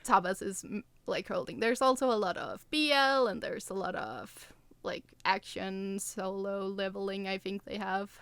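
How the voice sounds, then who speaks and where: A woman's voice: medium pitch, moderate pace, fairly bright tone, clean tone, no echo. A woman co-hosting a podcast in casual chat, playful and often correcting herself. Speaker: podcast co-host.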